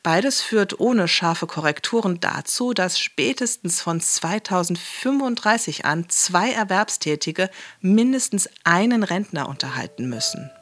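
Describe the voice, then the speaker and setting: A man's voice: sits high, lively intonation, measured pace, hardly any pauses, a bit bright. A man playing a pompous, formal policy speaker who warns rhetorically of economic doom in a satirical drama. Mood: lecturing. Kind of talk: satirical political speech.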